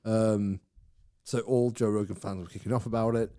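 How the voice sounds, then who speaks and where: A man's voice: on the low side, fairly even intonation, moderate pace, dark tone, dry recording, clean studio sound. A man co-hosting a podcast, chatting casually, rambling through asides and laughing often. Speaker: podcast co-host. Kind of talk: casual chat.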